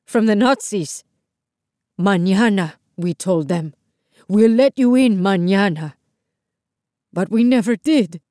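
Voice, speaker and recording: A woman's voice: mid-range voice, slow, long pauses, warm tone, dry recording, clean studio sound, natural voice. A woman voicing an elderly Cuban grandfather in an audiobook, earnest, emotional and full of regret and tender resolve. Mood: anguished confession. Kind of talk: audiobook character dialogue.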